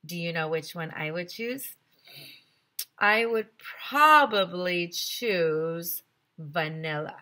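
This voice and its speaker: A woman's voice: on the low side, animated, takes it slowly, bright, forceful tone. A woman teacher reading a children's story aloud expressively, with chatty asides to young listeners.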